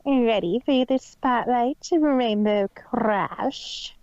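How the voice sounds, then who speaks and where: A man voicing a boastful, cruel female magician pony in a theatrical, drawn-out manner for an audio story. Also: very high voice, no room sound, muffled recording, voice pushed up, heavily acted. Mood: sneering, menacing. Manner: theatrical, drawn-out, speaks of self in third person.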